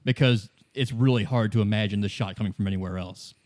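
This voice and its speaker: A man running a tabletop game, narrating scenes in a casual, halting, conversational way. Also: lowish voice, a little mellow. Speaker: game master.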